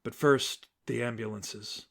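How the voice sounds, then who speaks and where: A man voicing an earnest, quiet young female crimefighter with soft, simple phrasing in a story reading. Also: medium pitch, steady tone, takes it slowly, creaky. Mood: quiet resolve.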